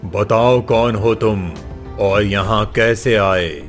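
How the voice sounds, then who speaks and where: Old benevolent king, played by a man: low register, slow, solemn, cartoon fable. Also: faint music behind. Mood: commanding. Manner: solemn, measured. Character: kind, grave old king on his throne. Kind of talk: Hindi moral-story cartoon dialogue.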